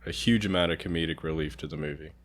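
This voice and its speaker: A young man with a low-pitched voice talks as a guest on a review show. His delivery is casual and conversational, like an informal discussion between friends. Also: fast.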